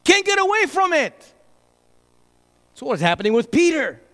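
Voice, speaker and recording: A pastor preaching a sermon, emphatic, moving between loud bursts and hushed asides. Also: male voice, extremely high pitch, sing-song, moderate pace. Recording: reverberant hall.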